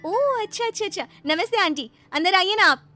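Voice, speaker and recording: A woman acts a dutiful young daughter-in-law in a cartoon moral story. Her voice is very high and crisp, respectful and eager, with light music behind. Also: lively intonation, a little fast, bright, forceful tone, exaggerated voice. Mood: polite, cheerful. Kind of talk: animated moral story dialogue.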